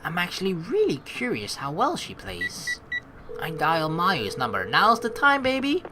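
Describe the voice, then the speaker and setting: A woman's voice: lowish voice, wildly swooping pitch, measured pace, over a noisy crowd. A woman hosting a casual let's play, playfully reading game narration and rambling through her own commentary. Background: game background music.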